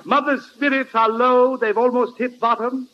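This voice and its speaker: A man's voice: very high voice, level tone, moderate pace. A man playing a pompous, hammy poet, declaiming rhymes grandly in 1940s radio comedy style. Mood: grandiose. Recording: dull, hissy old radio recording.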